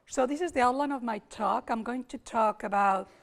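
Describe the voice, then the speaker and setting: A woman with a Spanish accent, hoarse today, giving an academic lecture at a summer school, thinking aloud with restarts and repeated words. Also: fairly high pitch, normal speed. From Spain.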